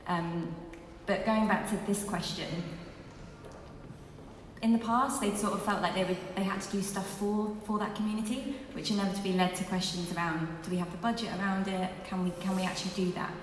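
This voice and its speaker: A woman co-presenting at a launch event, speaking to an audience in a measured way with fillers. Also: medium pitch, fairly even intonation, a little hiss or hum. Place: large museum hall. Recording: echoey hall from far off.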